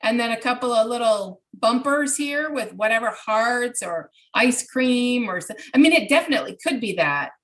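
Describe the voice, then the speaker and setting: A woman's voice: fairly high pitch, lively intonation, moderate pace, hardly any pauses. A middle-aged woman presenting an informal online workshop from home, explaining things step by step with examples. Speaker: presenter answering participants' questions. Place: home craft room, indoors. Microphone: computer mic on a video call.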